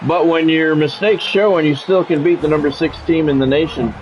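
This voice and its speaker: A man's voice: fairly high pitch, fairly even intonation, a little fast, muffled recording, a little hiss or hum. A man doing casual sports game commentary, drifting between announcer-style play calls and conversational musing.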